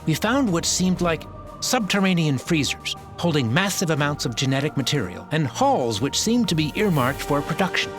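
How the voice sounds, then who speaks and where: An actor playing a smug, cowardly scientist with a formal, wordy manner in a video game cutscene. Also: male voice, fairly high pitch, very animated, moderate pace. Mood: smug explaining. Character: smug male scientist who bred aliens, cowardly under threat. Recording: loud music behind the voice. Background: music.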